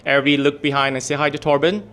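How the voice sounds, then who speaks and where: Brief: male event host with a deep voice, relaxed and chatty, laughs easily while walking a room through the agenda. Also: rapid-fire, faint music behind. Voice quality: deep voice today. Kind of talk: casual event hosting. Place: hotel meeting room.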